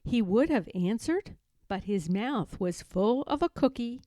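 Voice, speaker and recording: A woman reads a picture book aloud for children. She is gentle and deliberate, with a slow, lively intonation and a mellow tone. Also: a little high, dry recording. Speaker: storybook reader. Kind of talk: expressive children's read-aloud narration.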